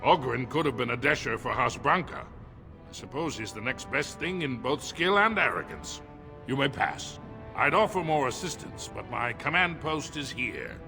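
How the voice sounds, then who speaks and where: An actor voicing a dutiful, officious dwarf commander in a video game, speaking firmly and formally. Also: male voice, medium pitch, big pitch swings, moderate pace, gravelly, bright, forceful tone, full character voice. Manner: formal, firm. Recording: faint music behind.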